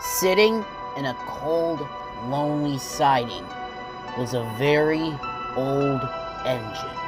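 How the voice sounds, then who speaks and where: A woman's voice: extremely low pitch, animated, slow pace. A woman reading scripted storybook narration, with music playing behind her.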